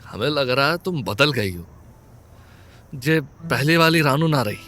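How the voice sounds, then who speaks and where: A man's voice: medium pitch, animated, unhurried. A man voicing an earnest wounded young lover. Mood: hurt. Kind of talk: Hindi audio drama acting. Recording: soft music bed underneath.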